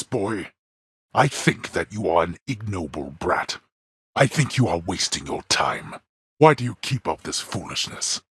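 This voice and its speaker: A man voicing a stern, scornful god of the dead and imperious father in a video game, with a booming, gravelly voice and formal, archaic phrasing. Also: a bit bright, no room sound, studio quality, exaggerated voice. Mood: scornful, angry. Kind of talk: video game character voice acting.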